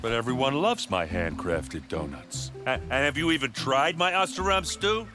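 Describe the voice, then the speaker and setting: Comic male tinkerer voiced by a man, affable yet indignant, expressive medium voice, game music underneath. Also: medium pitch, moderate pace, lightly acted. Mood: defensive. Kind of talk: comedic video game voice acting.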